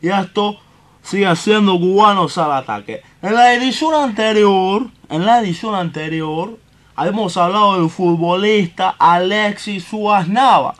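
High-pitched, bumbling Cuban radio host, played by a man. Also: a little slow, a bit bright. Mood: showy, rambling. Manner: rambling, repeats phrases, bumbling. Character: excitable, tippling Cuban football show host, male. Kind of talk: comic sports radio presenting.

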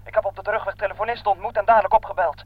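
Radio play role: frightened young male soldier, very high voice, dull old recording. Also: little pitch movement, very fast, dry recording. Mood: shaken. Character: young wounded panicky soldier reporting by field telephone.

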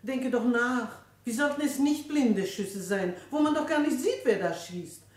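A genteel, imperious madame in a radio drama. Her voice is high and sits in a reverberant room. She exclaims and talks down to people. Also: lively intonation, a little slow. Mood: scolding. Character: imperious, chatty widowed mother, a genteel madame. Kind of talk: radio play dialogue.

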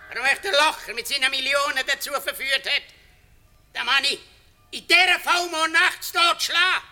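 A man's voice: very high-pitched, sharp tone, exaggerated voice. A man playing a gleeful old villager prophesying doom in a frenzied, ominous way in a radio play. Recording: long reverb, music behind.

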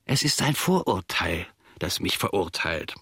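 An actor playing an aggrieved, self-important old manservant in a German radio play, grumbling and ruminating pompously in a gravelly, husky voice. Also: male voice, sits low, big pitch swings, measured pace, bright, forceful tone, a little hiss or hum, exaggerated voice. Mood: brooding.

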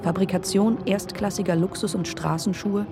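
A woman announcing in a radio play, with formal, measured, matter-of-fact scripted delivery. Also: mid-range voice, fairly even intonation, music in the background.

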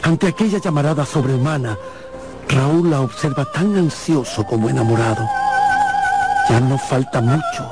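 A man's voice: mid-range voice, huge pitch swings, moderate pace. A man giving dramatic scripted narration for a radio drama. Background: loud music.

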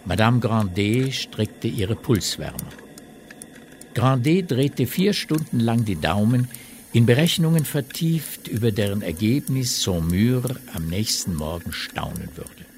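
Guy narrating a classic novel radio play, lowish voice, bit of background noise. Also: expressive, a little slow, a little mellow. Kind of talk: scripted literary radio drama narration.

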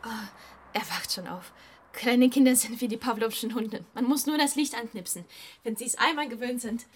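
A woman's voice: fairly high pitch, normal speed, a little hiss or hum, natural voice. An actress playing an anxious, apologetic young mother in a naturalistic German radio drama, polite and flustered. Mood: flustered, grateful.

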